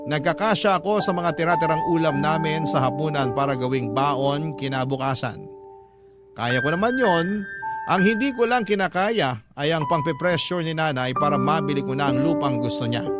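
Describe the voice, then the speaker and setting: A man's voice: a little high, moderate pace, natural voice. A man with a Filipino accent performing scripted first-person narration of a burdened young breadwinner for a radio drama. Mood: burdened, reflective. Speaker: narrator reading a letter-writer's story. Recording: loud music under the voice. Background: prominent background music.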